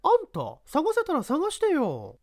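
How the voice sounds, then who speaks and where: Lady acting a cheeky defiant young woman, high voice swinging all over, audiobook drama. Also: huge pitch swings, measured pace, darkish tone, no room sound, clean studio sound, exaggerated voice. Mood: teasing, defiant.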